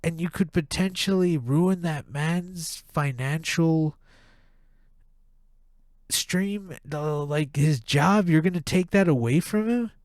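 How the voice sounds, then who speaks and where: A man with a blocked, nasal voice rants heatedly, swearing as he goes. He talks at an unhurried, drawn-out pace. The sound is close and dry, with no room echo. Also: on the high side, a little mellow. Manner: profane, heated ranting. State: stuffed-up nose, nasal. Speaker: podcast host. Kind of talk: casual ranting monologue.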